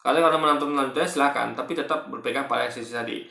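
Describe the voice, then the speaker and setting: A man recording a casual reaction video in a bare, echoey room, pushing his points brightly as he stumbles and repeats himself. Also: mid-range voice, fairly even intonation, creaky. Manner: halting, repetitive, self-correcting. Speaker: video commentator. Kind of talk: casual spoken reaction commentary.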